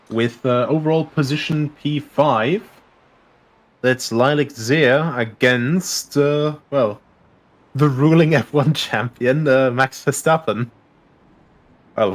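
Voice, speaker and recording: A man from Germany, a native German speaker, giving casual live race commentary. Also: medium pitch.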